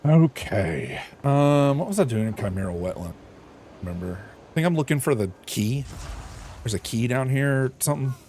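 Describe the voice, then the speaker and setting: A man's voice: mid-range voice, sing-song, moderate pace, darkish tone. A man streaming a game from home with a crackly voice, rambling and thinking aloud with lots of fillers and self-corrections. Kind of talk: casual chat while gaming.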